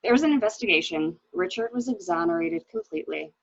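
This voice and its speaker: A woman's voice: on the low side, lively intonation, fast talker, no room sound. A woman in a dramatic mystery reading, playing an anxious, hesitant widow, on a dull home recording. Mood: earnest, bitter. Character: anxious, hesitant new widow recounting her husband's misdeeds. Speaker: library staff reader.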